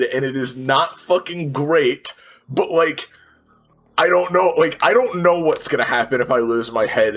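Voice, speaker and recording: A man's voice: mid-range voice, fairly bright tone. A man playing a tabletop game, thinking aloud and describing his character's moves in colloquial, profane speech. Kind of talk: casual game chat and narration. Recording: music under the voice. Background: background music.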